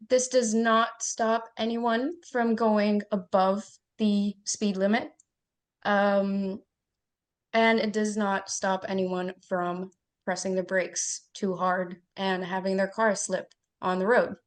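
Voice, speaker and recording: A woman's voice: medium pitch, little pitch movement, slow pace. A woman from Egypt giving a humorous impromptu speech on a video call, laughing now and then. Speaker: meeting guest.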